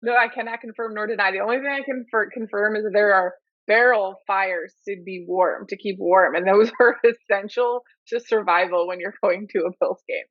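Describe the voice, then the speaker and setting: A man from upstate New York co-hosting a casual podcast, chatty and quick to laugh. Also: high voice, steady tone, no room sound, dull audio. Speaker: podcast co-host.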